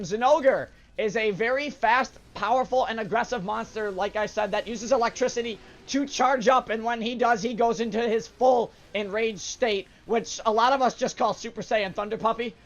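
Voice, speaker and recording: A young man with a hoarse, sore-throated voice, casually commenting on a game he plays, with filler sounds. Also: sits high, moderate pace, few pauses, fairly bright tone, muffled recording. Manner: casual, upbeat explanatory, frequent "uh" fillers. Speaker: gaming channel host. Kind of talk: let's play commentary. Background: game music.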